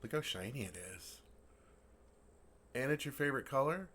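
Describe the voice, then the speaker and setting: A man's voice: mid-range voice, animated, moderate pace. A man playing a friendly, naive male dragon who talks earnestly and playfully to a human in an ASMR roleplay. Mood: delighted, fond. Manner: earnest, playful, puzzled, soft chuckles. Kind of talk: ASMR roleplay, intimate one-sided dialogue.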